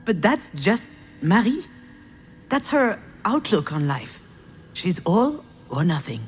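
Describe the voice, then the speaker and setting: A woman's voice: medium pitch, sing-song, slow, noisy background. A woman playing a troubled, sardonic screenwriter in a visual novel game, with a hesitant, wry and emotionally strained delivery. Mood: reflective, bitter. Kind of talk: naturalistic visual novel voice acting, introspective narration and dialogue.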